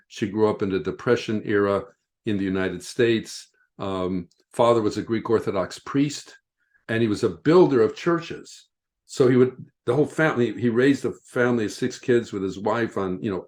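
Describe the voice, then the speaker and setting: A man who is a guest on a podcast, a metaphysical teacher, telling stories in an animated way with lots of "you know". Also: on the low side. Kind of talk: storytelling interview answers.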